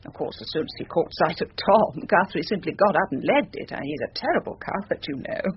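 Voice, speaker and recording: An actress playing an aggrieved, haughty middle-aged upper-class English wife in a British drama, clipped and indignant. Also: female voice, lowish voice, expressive, fast, lightly acted. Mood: scornful. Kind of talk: British radio drama acting. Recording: dull lo-fi drama recording, light hiss.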